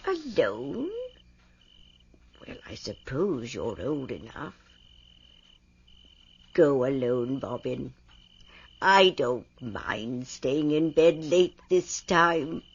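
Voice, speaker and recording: An actress playing an old woman weaver in an audio drama, a patient but stern motherly mentor who instructs in a hushed, deliberate way. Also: extremely low pitch, big pitch swings, husky, lo-fi sound, exaggerated voice. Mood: resigned, gentle.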